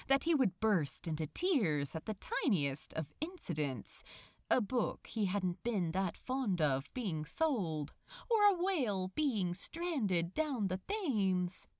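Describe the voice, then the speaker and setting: Female storyteller, scripted fiction reading, very varied pitch, unhurried dramatic phrasing, dry close sound. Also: darkish tone, no room sound. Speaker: narrator. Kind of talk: expressive scripted fan fiction narration.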